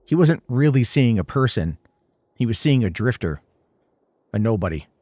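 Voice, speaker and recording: A man's voice: medium pitch, very animated, moderate pace, darkish tone, no room sound. A man narrating a scripted first-person horror story in short, suspenseful, dramatic phrases.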